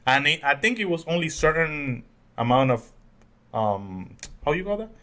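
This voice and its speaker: A man's voice: fairly high pitch, expressive, normal speed, sharp tone. A man in his twenties from the Dominican Republic, co-hosting a podcast in casual, jokey, rambling chat. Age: 20s. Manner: jokey, rambling, laughs often. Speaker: podcast co-host.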